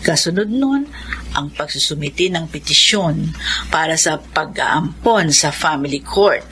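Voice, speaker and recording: A woman playing a composed orphanage nun in a Filipino radio drama, speaking formally and explaining things in a measured way. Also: deep voice, lively intonation, a bit bright, a little hiss or hum. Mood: composed, official.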